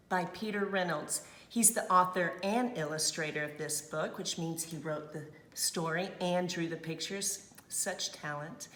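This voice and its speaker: A woman teacher reading a storybook aloud to children, expressive, with simple explanatory asides. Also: fairly low pitch.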